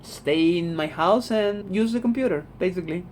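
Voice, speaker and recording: A man's voice: sits high, moderate pace, darkish tone, a little hiss or hum. Blunt young Argentine man. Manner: blunt, repeats "man", swears freely. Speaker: vlogger. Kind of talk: casual talking-head monologue. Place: home room.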